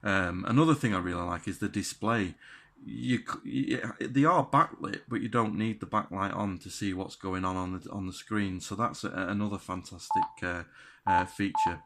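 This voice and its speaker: A man talks through a product review off the cuff. His delivery is halting and conversational, with plain enthusiasm as he explains things. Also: low-pitched, background noise. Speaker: radio gear reviewer.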